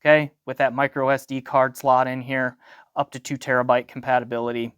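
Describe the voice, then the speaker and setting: A man's voice: medium pitch, flat delivery, a little fast, a bit bright, smooth, no room sound, studio quality. A middle-aged man giving an unscripted product review in a conversational way, thinking aloud and posing questions to himself. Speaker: audio gear reviewer.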